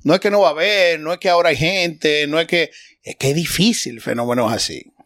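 A man. He is a guest in a casual podcast interview, animated and teasing, musing aloud as he talks. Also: a little high, fairly quick, no echo, studio quality. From Dominican Republic.

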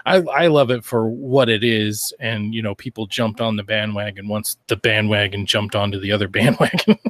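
A man's voice: on the low side, fairly even intonation, moderate pace, a bit bright, dry recording. An American man from Idaho hosting a podcast in a rambling, informal, chatty way. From Idaho, United States. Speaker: podcast host. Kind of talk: casual chat.

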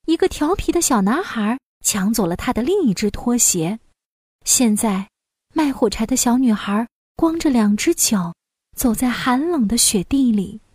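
A woman with a fairly high voice narrates a fairy tale for young children. Her storytelling is expressive and scripted, and the recording is close and dry. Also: a little slow, husky, no room sound.

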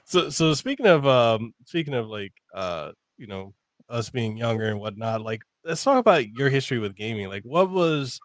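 A man's voice: mid-range voice, very animated, normal speed, dry recording. Rambling male podcast host from Samoa. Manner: loose and rambling, full of "like" and restarts. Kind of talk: casual interview chat.

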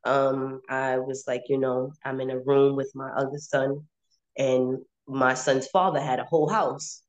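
A woman's voice: very deep voice, fairly even intonation, a little slow. Woman in her twenties, candid casual talk. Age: 20s. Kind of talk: casual personal storytelling.

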